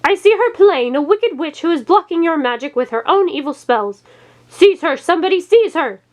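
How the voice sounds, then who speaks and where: Lady doing a sly con man in a storybook, high and excitable, pointing the finger. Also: normal speed. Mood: frantic, accusing. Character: scheming male charlatan, flattering, excitable, accusing.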